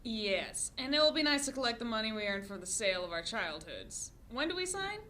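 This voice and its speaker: A woman's voice: fairly high pitch, very animated, brisk, sharp tone, slight background noise. A woman playing a cynical, bitter ex-superhero in her twenties, sarcastic and blunt, in a comedic table read. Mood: dry sarcasm.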